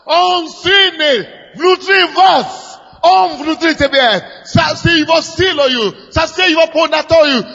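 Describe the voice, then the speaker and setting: A man preaching a sermon with great passion, swinging between loud shouted declarations and quiet, intimate lines. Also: extremely high pitch. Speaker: pastor. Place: large church hall. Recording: dull sermon recording in a reverberant hall.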